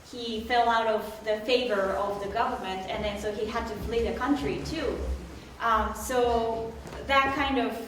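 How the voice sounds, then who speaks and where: A Japanese-accented woman speaks thoughtfully to a live audience, pausing often on "um" and "uh". Her voice is fairly high. She is picked up from afar in a very echoey concert hall with faint background noise. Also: a bit bright, distant mic. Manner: thoughtful, hesitant with frequent "um" and "uh". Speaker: pianist. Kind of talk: casual spoken explanation to a live audience. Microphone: distant room mic.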